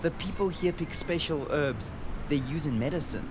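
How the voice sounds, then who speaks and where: Earnest young boy traveler played by a man, fairly high voice, gentle radio-drama storytelling over clear background noise. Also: moderate pace, lightly acted. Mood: hushed wonder. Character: earnest, wonder-struck boy recording a journey for his sister. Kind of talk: radio drama narration and dialogue. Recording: noisy outdoor ambience behind the voice.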